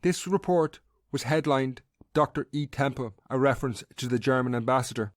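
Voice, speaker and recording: A man's voice: mid-range voice, normal speed, no room sound, clean studio sound. A man with an Irish accent narrating a scripted history podcast as its host.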